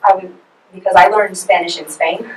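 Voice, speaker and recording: A woman's voice: lowish voice, fairly even intonation, moderate pace. A woman leading a forum discussion as presenter, asking for comments and answering questions. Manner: conversational, leads questions. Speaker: student presenter. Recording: echoey room from far off.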